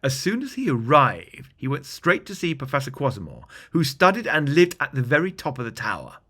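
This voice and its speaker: Animated, bright-voiced male storyteller. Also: medium pitch, a little fast, husky. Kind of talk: scripted bedtime fantasy story narration.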